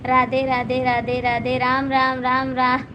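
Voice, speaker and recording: A high-pitched woman with an Awadhi accent and a hoarse voice greets her viewers one after another. She speaks slowly and stays on an even, level pitch as she teases them. Clear background noise and passing vehicles are heard behind her phone mic. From Gonda district, Uttar Pradesh, India. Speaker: folk singer hosting a live stream. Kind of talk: casual live-stream chat, reading out comments.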